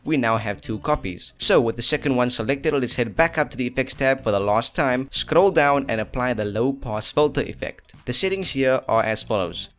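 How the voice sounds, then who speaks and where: A man's voice: mid-range voice, lively intonation, normal speed, few pauses, a bit bright. A young man presenting a casual tutorial in a jokey, self-deprecating, chatty way. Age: young adult. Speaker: host of a video effects tutorial channel. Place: small dim room indoors.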